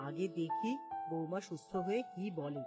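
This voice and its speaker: Loud music sits behind a low female voice, a woman acting a caring older mother-in-law in a family cartoon. She is patient and soothing, measuring out her words gently. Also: moderate pace, lightly acted. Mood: concerned, hushed.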